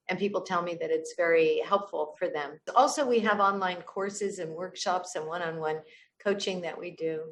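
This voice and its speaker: A woman's voice: lowish voice, slight background noise. A woman speaking as a communication coach, giving conversational expert advice in an explanatory way with examples and rhetorical questions. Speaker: communication coach, interview guest.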